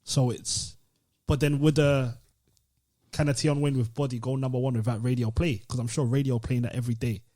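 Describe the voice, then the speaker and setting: A man's voice: mid-range voice, steady tone, brisk, warm tone. A British man co-hosting a podcast, chatting informally in slangy, opinionated style. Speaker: podcast host. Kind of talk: casual chat.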